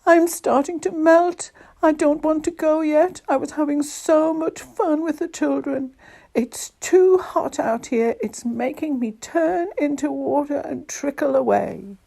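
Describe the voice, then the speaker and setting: A woman voicing a tearful male snowman who wails in a drawn-out lament about his plight. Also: high-pitched, creaky, dark tone, voice pushed up. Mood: wailing, heartbroken. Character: wailing, tearful male snowman afraid of melting.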